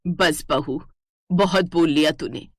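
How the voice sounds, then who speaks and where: An older village mother-in-law in an animated moral tale, acted by a woman narrator. Her voice sits low and close with no room sound, patient and proud, able to stand firm. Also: slow, husky, no echo, exaggerated voice. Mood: indignant, firm.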